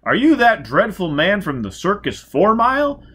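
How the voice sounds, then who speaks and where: A man voicing a gushing, scandalised high-society lady in a theatrical, exclaiming way for a story reading. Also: wildly swooping pitch, moderate pace, fairly bright tone, slightly rough, full character voice. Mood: scandalised delight.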